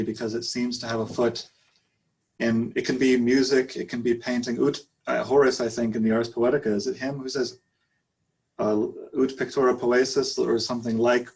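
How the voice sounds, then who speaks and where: A man poet answering audience questions at a reading, hesitant and filling pauses with ums. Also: mid-range voice, slightly rough, rough recording.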